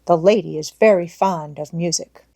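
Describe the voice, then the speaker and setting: A woman's voice: fairly low pitch, steady tone, normal speed, gravelly, dark tone. A woman narrating an audiobook, reading a children's story expressively from a script.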